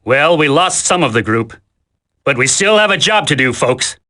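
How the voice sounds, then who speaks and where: A man's voice: fairly high pitch, expressive, moderate pace, pushed and bright. A man voicing a brash, impatient squad leader in a video game, slangy, wisecracking and bossy. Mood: brisk.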